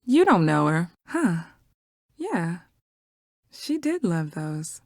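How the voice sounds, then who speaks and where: A woman's voice: on the low side, sing-song, slow pace, slight background noise, full character voice. A woman playing a defensive, abrasive and guarded young woman in a video game. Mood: subdued.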